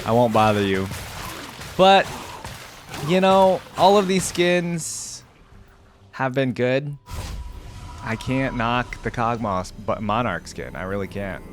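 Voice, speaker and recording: A man hosting a casual, unscripted reaction video, commenting in a halting, conversational way as he thinks aloud. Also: medium pitch, lively intonation. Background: background music.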